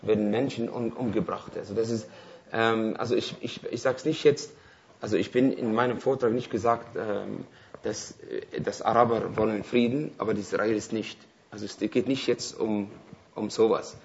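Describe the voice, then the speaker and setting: A man's voice: on the low side, fairly even intonation, moderate pace, a little mellow, lo-fi sound, a little hiss or hum. A man from Palestine whose first language is Arabic, speaking German as a guest speaker answering audience questions, hesitant, with many fillers and restarts. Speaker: invited speaker.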